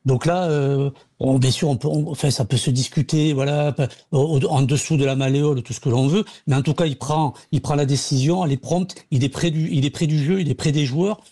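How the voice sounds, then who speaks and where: Halting man, mellow voice. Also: a little high, fairly even intonation, slightly rough. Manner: halting, many restarts and fillers. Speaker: former footballer, pundit. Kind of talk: radio debate.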